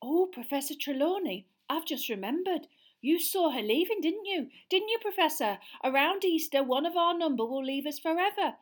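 A woman doing a gushing, excitable schoolgirl. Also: high voice, normal speed, pitched above the performer's own voice. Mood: eager. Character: gossipy, excitable teenage schoolgirl.

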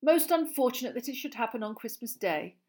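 A woman's voice: a little high, lively intonation, fairly quick, heavy background noise, pitched above the performer's own voice, lightly acted. A woman voicing a cheerful, courteous old male wizard headmaster in an audiobook reading. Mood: genial. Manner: genial and courteous. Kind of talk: audiobook character voice.

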